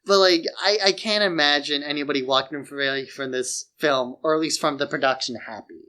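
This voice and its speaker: A man's voice: fairly high pitch, a little fast, pushed and bright, smooth. A man co-hosting a podcast, giving his opinions on films in loose conversational speech peppered with "like". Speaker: podcast co-host. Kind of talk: casual opinionated film chat.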